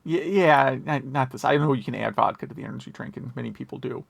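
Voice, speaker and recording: A man's voice: mid-range voice, fast, gravelly, no room sound. An American man co-hosting a podcast in casual chat, wry and opinionated. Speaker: podcast co-host.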